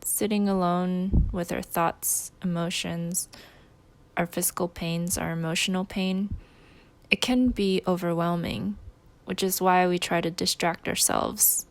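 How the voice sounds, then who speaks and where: A woman leading a guided meditation, giving gentle instructions in short phrases with pauses between them. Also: fairly low pitch, little pitch movement.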